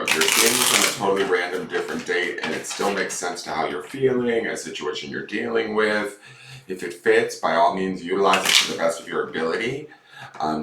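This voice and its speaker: A man with a stuffed-up voice, chatting casually as a tarot reader and rambling excitedly about the cards. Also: low-pitched. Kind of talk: casual chat to camera. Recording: echoey room from far off, loud noise.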